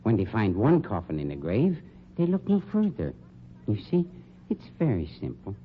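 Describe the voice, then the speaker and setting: An actor in an old-time radio crime drama playing a suave, sardonic funeral director with polished, condescending delivery. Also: male voice, fairly low pitch, huge pitch swings. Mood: cool scheming. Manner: polished, sarcastic, condescending, deliberate diction. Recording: dull, noisy old radio recording.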